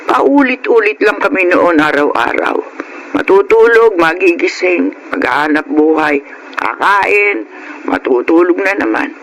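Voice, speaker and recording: A man's voice: high-pitched, lively intonation, measured pace, a bit bright, full character voice, low-quality recording. An actor playing a loving, sentimental elderly grandmother in an audio drama, talking tenderly and chattily. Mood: wistful. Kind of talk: Filipino audio drama acting.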